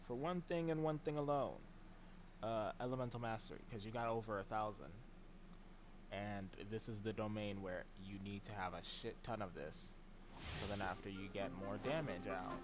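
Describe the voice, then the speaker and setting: A man streaming a game live, chatting in a very soft, mild voice with plenty of ums and trailing words. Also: on the low side, normal speed, noisy background. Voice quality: very soft voice that does not carry.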